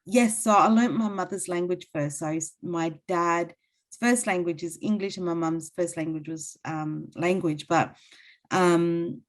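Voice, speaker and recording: A woman from Central Australia whose first language is Luritja Pintupi, an interpreter talking casually as a guest, laughing easily and pausing with many ums. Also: fairly low pitch, fairly even intonation.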